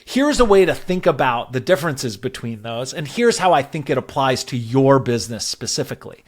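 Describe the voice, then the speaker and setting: Man giving measured, conversational advice. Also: mid-range voice, very animated. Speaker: podcast guest, growth advisor. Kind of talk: casual interview conversation, explaining advice.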